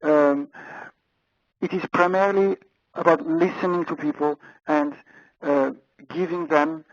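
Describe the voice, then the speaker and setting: A man's voice: on the high side, animated, a little slow, gravelly, dry recording. A man with an Italian accent presenting a webinar over a phone handset, hesitant, with many fillers and repeated words. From Italy. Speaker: webinar speaker. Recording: muffled phone line.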